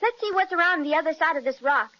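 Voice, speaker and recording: A woman's voice: very high voice, animated, fast. An actress playing an earnest, wide-eyed little girl in an old-time children's radio serial, plaintive and eager. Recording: dull, band-limited old radio recording.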